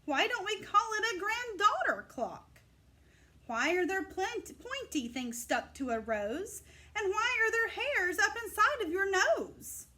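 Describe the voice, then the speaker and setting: A woman reader acts out a curious little girl scientist from a storybook. The voice is high and lively, with eager, emphatic questions that swing up and down. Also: measured pace, full character voice. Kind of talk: storybook character voice.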